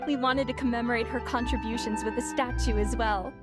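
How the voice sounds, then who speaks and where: A woman's voice: fairly high pitch, fairly even intonation, brisk, natural voice. A woman playing a respectful, grateful townswoman in a video game. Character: grateful, respectful townswoman honouring her leader. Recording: loud plucked music behind the voice.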